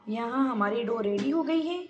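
A woman, hosting a home cooking tutorial and calmly giving the viewer step-by-step instructions. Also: fairly high pitch, moderate pace, muffled recording, faint music behind. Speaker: cooking channel host. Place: home kitchen.

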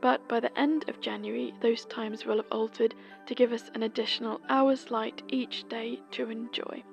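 A woman from Britain, host of a folklore podcast, reading a scripted seasonal almanac in a gentle, whispery way. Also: a little high, fairly even intonation, moderate pace, gravelly, muffled recording, faint music behind.

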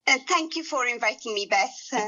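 Middle-aged woman, amharic first language, slow thoughtful answers w lots of restarts, kinda muffled audio. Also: on the high side, expressive, pushed and bright. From Ethiopia. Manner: thoughtful, hesitant, many restarts. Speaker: guest writer and translator. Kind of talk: casual interview answers. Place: indoors, small room.

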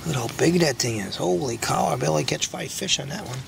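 A man with a heavily gravelly, creaky voice talks casually while he digs for worms in his yard after dark. He is recorded on a handheld camera outdoors. There is clear background noise and outdoor hiss behind him. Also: on the high side, fast. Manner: chatty, excited over big worms. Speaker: backyard gardener and angler. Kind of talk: casual narration while working. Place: backyard outdoors at night. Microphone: handheld camera mic. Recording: noisy outdoor night recording.

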